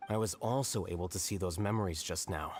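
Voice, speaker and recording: A man voicing a calm, logical male scholar in a video game, speaking in a cool, matter-of-fact way. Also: sits low, a little fast, very noisy, close to an everyday voice.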